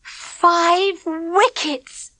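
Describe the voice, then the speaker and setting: A woman's voice: sits high, lively intonation, fairly quick, a bit bright, no room sound, pitched above the performer's own voice. A woman voicing a bossy, cricket-mad schoolgirl who talks in a bouncy, boastful, teasing way. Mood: gleeful.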